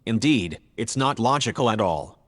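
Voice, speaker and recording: A man playing a logical, earnest male artificial intelligence in an audio drama, speaking in a measured, factual, formal way. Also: medium pitch, lively intonation, long reverb tail, natural voice. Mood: earnest, matter-of-fact. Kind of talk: scripted audio drama dialogue.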